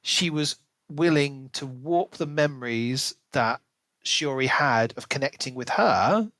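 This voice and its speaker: A man hosting a podcast, casually discussing and reviewing a book with animated retellings and asides. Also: medium pitch, takes it slowly, dry recording. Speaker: podcast host.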